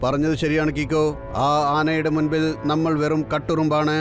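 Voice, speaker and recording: Man as an eager, high-pitched talking cartoon car. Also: completely flat delivery, normal speed, faint music behind, lightly acted. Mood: wondering. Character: loyal talking superhero car, eager male sidekick. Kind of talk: dubbed children's cartoon acting.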